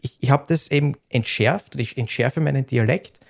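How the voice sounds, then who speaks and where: A man's voice: mid-range voice, moderate pace, vocal fry. A man speaking soberly and at length, with a dialect and frequent restarts. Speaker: streamer. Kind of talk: conversation.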